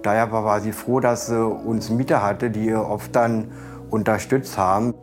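Lowish-voiced man interviewed over music. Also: fairly even intonation, moderate pace. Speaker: interviewee, former tenant or acquaintance. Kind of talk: spontaneous documentary interview. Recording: clear music behind the interview.

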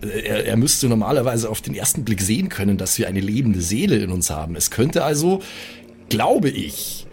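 A man's voice: on the low side, big pitch swings, normal speed, vocal fry, a little mellow, slight background noise. A man speaking as a player in a tabletop role-playing game, casually discussing in character with careful, hedging phrasing.

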